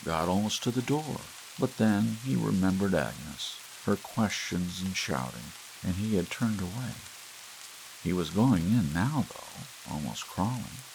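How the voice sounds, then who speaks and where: A man with a low, gravelly voice narrates a story softly and slowly, lingering on pauses in a gentle bedtime manner. His pitch moves a lot for such a quiet read, rising and dipping with each phrase. Faint hiss and soft rain sit under the voice. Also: rough recording. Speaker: audiobook narrator.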